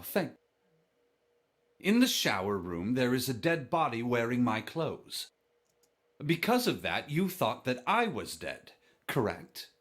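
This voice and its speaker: An actor playing a composed, analytical young gentleman with formal, polite speech in a visual novel game. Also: a little high.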